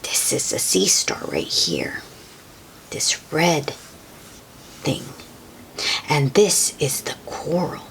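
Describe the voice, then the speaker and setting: A woman's voice: low voice, very animated, takes it slowly, vocal fry, long pauses, slight background noise, low-quality recording. A woman reading a children's book aloud, adding simple comments and questions for young listeners in a child-directed, wondering manner. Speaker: host reading picture books aloud to children.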